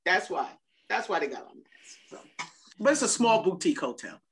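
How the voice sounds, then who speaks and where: A woman in her fifties co-hosting a podcast, chatting casually and telling stories in a slangy, animated way, acting out what people said. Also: lowish voice, moderate pace, slight background noise. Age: 50s. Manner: animated, slangy, acts out quotes. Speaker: podcast co-host. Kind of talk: casual chat, storytelling.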